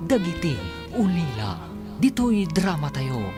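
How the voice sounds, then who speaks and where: A man's voice: on the high side, big pitch swings, measured pace. A man narrating and announcing a scripted radio drama. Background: guitar and instrumental music.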